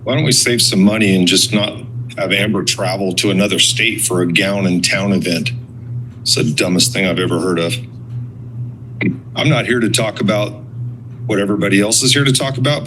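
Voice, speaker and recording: A man's voice: lowish voice, fairly quick, background noise. A blunt, sarcastic man giving confrontational public comment at a meeting, swearing and accusing.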